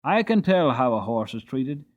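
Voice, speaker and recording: A man's voice: mid-range voice, sing-song, normal speed, dull audio, close to an everyday voice. A man voicing a small, cheerful old hostler and former jockey, proud and talkative, with a folksy, chatty manner in an audiobook. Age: older. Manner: chatty, folksy, interjects "bless you" and "you see". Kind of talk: dramatic audiobook character voice.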